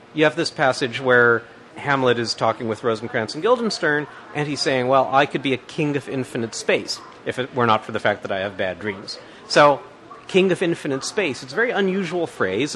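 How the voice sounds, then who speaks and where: Man explaining in halting restarts. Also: medium pitch, a bit bright, slight background noise. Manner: thinking aloud with many restarts and fillers. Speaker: guest expert. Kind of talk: explanatory interview answers.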